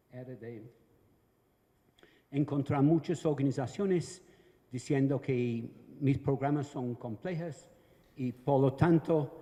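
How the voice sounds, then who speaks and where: Slow older man, Venezuelan-tinged Spanish. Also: mid-range voice, dark tone, highs cut off. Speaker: conference panelist. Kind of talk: conference talk. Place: large conference hall. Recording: long hall reverb.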